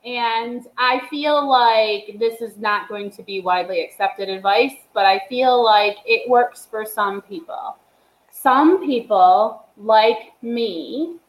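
A woman's voice: fairly high pitch, fairly bright tone, reverberant, poor audio. A woman, a writer, giving blunt, emphatic advice in casual chat. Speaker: podcast guest, writer.

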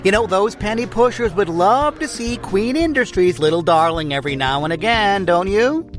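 An actor playing a smarmy, leering business magnate in an audio drama, with an unctuous drawl. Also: male voice, high-pitched, lively intonation, moderate pace, faint music behind. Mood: needling.

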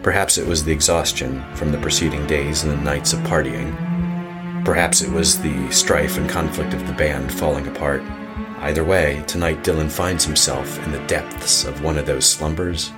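A man performing the ominous narrator of a horror audio drama, in measured, solemn, literary storytelling over music. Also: a bass voice, steady tone. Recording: music under the voice.

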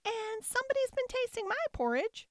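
A woman voicing a little baby bear from a storybook, with a shrill, squeaky, childlike and emphatic delivery. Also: very animated, normal speed, no echo, clean studio sound. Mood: plaintive.

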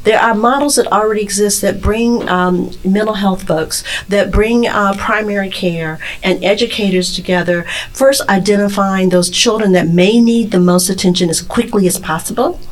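A woman's voice: mid-range voice, normal speed, hardly any pauses, rough recording. A woman speaking as a panel guest, laying out her points step by step with emphasis in an earnest discussion. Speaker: panel guest, child and parent advocate.

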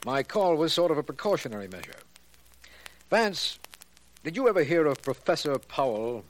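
An actor playing an earnest, cordial district attorney in a 1940s radio drama, explaining things formally. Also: male voice, on the high side, big pitch swings, normal speed. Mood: serious. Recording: noisy, crackly old radio recording.

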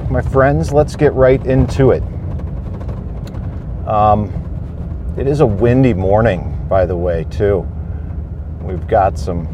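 A man hosting a homestead podcast, talking thoughtfully with pauses and fillers. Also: medium pitch, noisy background. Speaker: podcast host. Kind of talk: casual solo podcast talk. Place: on a homestead farm.

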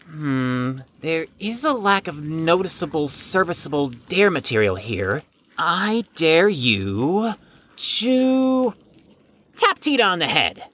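A woman's voice: low-pitched, sing-song, takes it slowly, a bit bright, full character voice. A woman playing a prim, haughty young know-it-all in an audio drama, pompous, precise and wordy. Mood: dry, prim.

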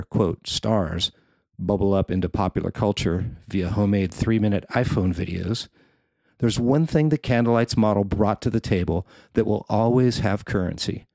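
A man's voice: lowish voice, creaky, a little mellow, no room sound. A man reading his own written feature article aloud as scripted narration.

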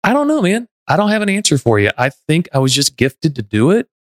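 A man's voice: on the high side, sing-song, brisk, no echo. Earnest man from Texas, dry studio sound. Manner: earnest, self-reflective, frequent restarts. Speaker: podcast host. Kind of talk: casual conversation.

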